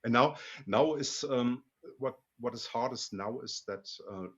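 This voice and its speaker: A man from Germany with a German accent, a guest in a casual interview about learning languages, talking haltingly with many restarts and repeated words. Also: mid-range voice, fairly bright tone, breathy edge, dull audio.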